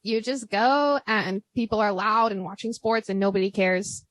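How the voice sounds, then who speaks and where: A woman's voice: mid-range voice, fairly even intonation, moderate pace. A young American woman hosting a podcast, chatting casually over drinks with a big voice, lots of "like" and frequent laughs. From United States. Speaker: podcast host.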